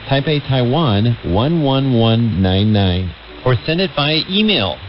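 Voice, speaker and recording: A man announcing a scripted station promo on shortwave radio. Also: mid-range voice. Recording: dull shortwave radio broadcast. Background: music.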